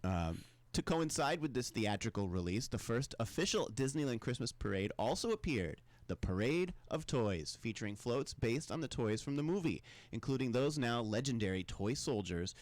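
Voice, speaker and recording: A man with a lively, swooping delivery walks through his notes for a studio podcast segment. His pitch sits in the middle. He keeps things playful and laughs off his own fumbles. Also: moderate pace, hardly any pauses. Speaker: music segment presenter. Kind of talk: casual podcast chat, reading prepared notes.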